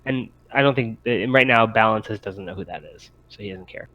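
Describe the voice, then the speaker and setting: Low-voiced man running a tabletop game. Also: moderate pace, fairly bright tone, dry recording, muffled recording. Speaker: game master. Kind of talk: improvised tabletop game narration.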